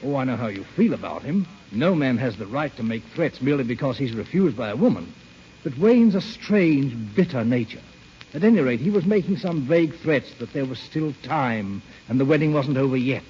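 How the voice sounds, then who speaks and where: A man acting a worried, excitable best man in an old-time radio drama, anxious and expressive. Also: mid-range voice, normal speed, lightly acted. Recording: muffled old radio recording, faint noise.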